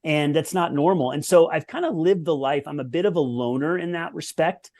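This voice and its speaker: A man's voice: fairly high pitch, a little fast, a little mellow. Reflective man in his forties. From United States. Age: 40s. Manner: reflective, earnest, with lists and restarts. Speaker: podcast guest, former pro tennis player. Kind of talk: conversational interview storytelling.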